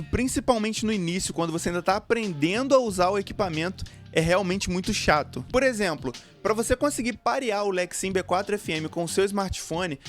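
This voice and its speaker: High-voiced Brazilian man reviewing gear. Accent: Brazilian Portuguese. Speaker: motorcycle gear review video host. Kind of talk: product review narration to camera or voice-over. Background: background music.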